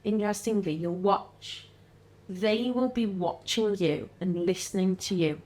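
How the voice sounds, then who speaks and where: Animated woman with Liverpool-area accent. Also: medium pitch, measured pace. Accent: mild Liverpool-area English. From Liverpool area, England. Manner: animated, acts out quoted voices, frequent laughs. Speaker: guest, wellness business founder. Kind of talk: casual podcast conversation.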